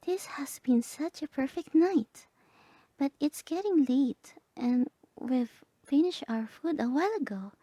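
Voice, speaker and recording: A woman voicing a sweet, excited young woman on a date, girlish, gushing and soft, in an ASMR roleplay. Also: high voice, measured pace, no echo, pitched above the performer's own voice. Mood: sweet, coy.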